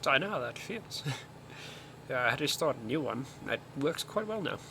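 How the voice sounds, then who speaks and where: A man's voice: mid-range voice, moderate pace, vocal fry. A man giving casual, chatty commentary as he streams a video game. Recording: steady background noise.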